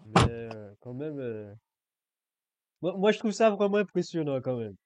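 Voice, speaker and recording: A man hosting a podcast interview, soft-spoken, agreeing often and asking questions with hesitations. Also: mid-range voice, very animated, measured pace, noisy background. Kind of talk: casual interview chat.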